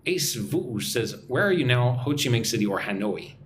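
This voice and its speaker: A young man from Texas runs a live-stream English class in a chatty, joking tone. His pitch moves around a lot as he reads viewers' comments and answers them. A faint hum of room ambience sits under his voice. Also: big pitch swings, moderate pace. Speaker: English teacher and livestream host. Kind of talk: live-stream English lesson, reading chat. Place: home room in Vietnam.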